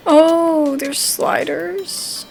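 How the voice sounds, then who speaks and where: A woman's voice: extremely high pitch, steady tone, very slow, creaky, darkish tone, soft background music, low-quality recording. A woman doing casual let's-play commentary on a game, musing aloud as she puzzles things out. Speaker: gamer playing a horror visual novel demo.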